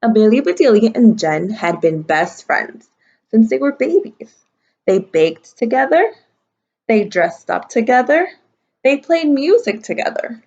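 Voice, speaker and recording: A woman's voice: on the high side, very animated, measured pace, a little mellow, lo-fi sound. A woman teacher reading a picture book aloud to young children, adding simple explanatory asides. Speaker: kindergarten and first grade teacher.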